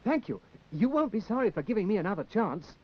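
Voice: medium pitch, sing-song, quick pace, husky. A performer playing a hapless, apologetic young man, earnest and plaintive, in a 1960s children's puppet show. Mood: eager, apologetic. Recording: muffled 1960s TV soundtrack with music.

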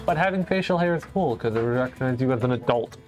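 A man's voice: medium pitch, animated, fast talker, rough recording. Teasing young man, slangy game banter. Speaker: esports caster. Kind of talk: casual game commentary chat. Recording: faint music behind.

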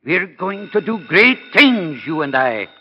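An actor playing a gruff but fatherly priest and science professor in 1940s radio style, firm and measured. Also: high-pitched, sing-song, faint music behind. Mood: fatherly, encouraging. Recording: muffled 1940 radio broadcast.

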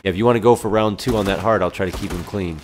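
A man's voice: sits low, racing, a little hiss or hum. A man chatting casually while playing a co-op video game, giving running commentary to his teammate. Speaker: gamer commentating on a co-op playthrough. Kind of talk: casual gameplay chat.

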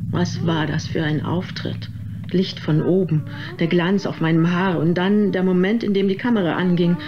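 Actress as aging movie diva, radio drama. Lowish female voice, grand, haughty, easily offended; muffled recording. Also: steady tone, normal speed, close to an everyday voice. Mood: nostalgic, swooning. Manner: haughty, melodramatic complaining. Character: vain, theatrical, aging former film diva, easily outraged. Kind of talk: German radio drama acting. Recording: dull, noisy sound with ambience.

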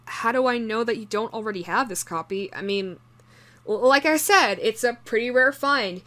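A woman voicing an obsessive shapeshifting doppelganger posing as someone's ex, speaking haltingly in an intimate horror audio roleplay. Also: a little high, lightly acted. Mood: nervous, ingratiating. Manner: halting, stammering, soft-spoken in menace.

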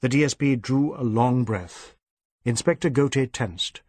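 A man's voice: mid-range voice, measured pace, darkish tone, dry recording. A man reading literary fiction as an audiobook narrator, in a scripted storytelling style.

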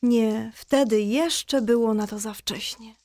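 A patient mother in a children's radio play. Her voice sits fairly high and is recorded close and dry. She tells a Bible story in a reassuring, explanatory way. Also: steady tone, takes it slowly, warm tone, dry recording. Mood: patient, explaining. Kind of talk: scripted children's audio drama storytelling.

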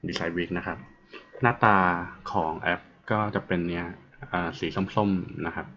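A man presenting an informal tutorial, explaining step by step in a halting way. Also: low voice, steady tone, creaky. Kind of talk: informal app walkthrough narration. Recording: muffled, dull audio with faint noise and clicks.

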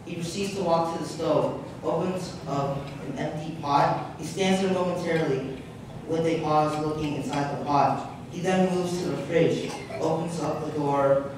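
A man's voice: fairly high pitch, steady tone, moderate pace. Young man narrating in echoey hall. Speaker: narrator reading stage directions. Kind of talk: scripted narration, read aloud. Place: large public hall, on stage. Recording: echoey hall, distant microphone, clear noise.

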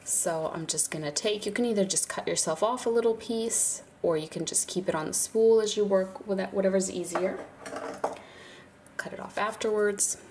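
A woman's voice: mid-range voice, normal speed, darkish tone, slight background noise. A woman with a stuffy nose from a cold, chatting casually as she walks through a hands-on craft tutorial, with fillers and small laughs. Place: indoors at a craft table, birds outside.

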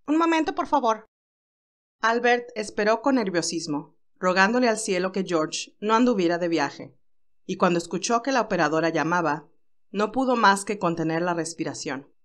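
A woman's voice: on the low side, normal speed, fairly bright tone. A woman reading a scripted story aloud as an audiobook narrator.

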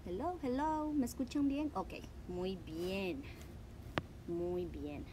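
A woman's voice: medium pitch, slow. A woman in her thirties with a Salvadoran Spanish accent hosts a casual livestream, chatting informally and a little hesitantly with some English words mixed in. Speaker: art class host. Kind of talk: casual livestream chat to viewers. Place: outdoor patio among trees. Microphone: device mic at about arm's length. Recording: noisy outdoor ambience with traffic hum.

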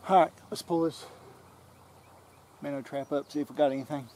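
Relaxed man vlogging by a creek. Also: a little high, a little fast, slight background noise. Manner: relaxed, explains as he fishes. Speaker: outdoor vlogger. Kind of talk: casual outdoor vlog narration. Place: outdoors by a small creek. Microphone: camera-mounted mic, close framing. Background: faint outdoor nature ambience.

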